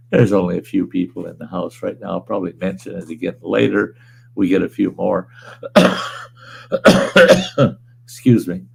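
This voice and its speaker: A man hosting a casual livestream from home, hoarse with a weakened voice, rambling in a laid-back way and chuckling often. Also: deep voice, low-quality recording. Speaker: livestream host. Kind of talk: casual livestream chat reading viewer comments.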